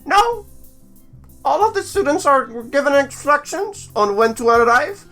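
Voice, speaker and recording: Visual novel guide played by a man: high-pitched, polite businesswoman, slow and instructive, lilting. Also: sing-song, sharp tone, faint music behind, exaggerated voice. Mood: courteous, instructive. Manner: slow, drawn-out, instructive delivery. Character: polite, businesslike young female archaeology staff guide.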